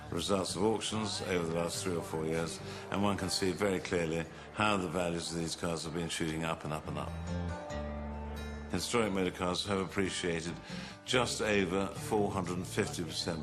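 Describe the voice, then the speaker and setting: A man speaking as a classic car market expert, measured and emphatic. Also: low-pitched. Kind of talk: interview commentary. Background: music.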